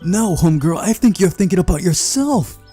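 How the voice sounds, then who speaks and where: A man hosting a game playthrough, reading visual novel narration aloud in a mellow voice. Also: high-pitched, expressive, moderate pace, husky, faint music behind. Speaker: gaming video host.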